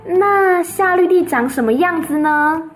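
A woman's voice: extremely high pitch, a little slow, voice pushed up. Woman playing a baffled farm mother. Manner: incredulous questioning. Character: puzzled, worried farm mother.

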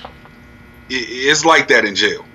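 A man's voice: medium pitch, expressive, slow pace, pushed and bright, heavily acted. A man playing a stern, formal federal officer making accusations in an audio drama. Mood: accusing.

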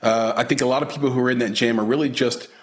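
A man hosting an interview, talking in a halting way with many fillers and restarts, laughing easily. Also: mid-range voice, fairly even intonation. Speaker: podcast host, interviewer. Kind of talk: casual interview.

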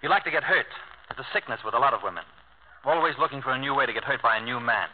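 A man's voice: medium pitch, animated, rapid-fire, lightly acted. An actor playing a brash, blunt, self-assured young male lawyer in 1950s radio drama style, curt, tough and wisecracking. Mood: cocky, forceful. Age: young adult. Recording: narrow-band 1950 radio broadcast.

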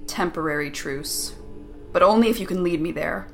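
A woman's voice: on the low side, normal speed, soft background music, close to an everyday voice. An actress playing a tough, tired, vengeful woman in an audio drama, terse and guarded. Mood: guarded, bitter.

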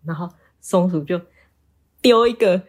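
A woman's voice: fairly low pitch, unhurried, darkish tone. A woman improvising a bedtime story for a child, prompting with questions and repeating key phrases. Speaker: storyteller, parent.

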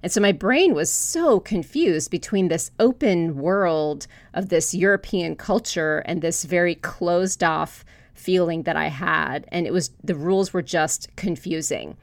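A woman's voice: lowish voice, lively intonation, a little slow, dry recording. A woman podcast host from Florida, earnestly sharing a personal story before posing a question to her guest. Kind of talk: podcast interview.

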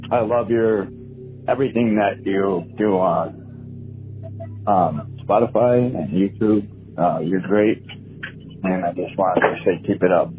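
A man caller leaving a casual, halting voicemail message over the phone. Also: deep voice. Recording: muffled phone voicemail, faint hum.

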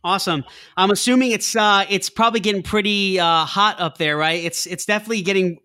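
High-voiced man hosting a podcast. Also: fairly even intonation, sharp tone. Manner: halting, many restarts and fillers when asking questions. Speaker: podcast host. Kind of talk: podcast interview.

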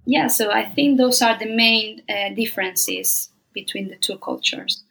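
Woman guest, podcast interview; gravelly, slightly high voice; steady, explanatory, lots of fillers. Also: rough recording. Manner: measured, explanatory, many ums and uhs. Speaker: translation company director, guest.

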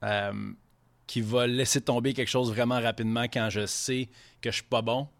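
A man's voice: medium pitch, fairly even intonation, moderate pace. A man from Quebec City, in his thirties, with a Quebec French accent, a chef guest in a casual podcast interview, rambling in franglais with frequent swearing.

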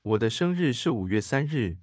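A man reads brief translations of practice sentences, one short line at a time. His pitch moves a lot within each line, and the sound is close and dry. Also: brisk, no echo. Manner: plain, steady reading of short lines. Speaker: co-host giving translations. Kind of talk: reading translations for learners.

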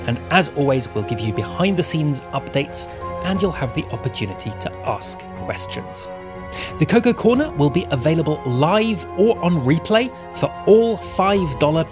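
An announcer reading scripted podcast notes and credits in an animated manner. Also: mid-range voice. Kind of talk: scripted narration. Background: music.

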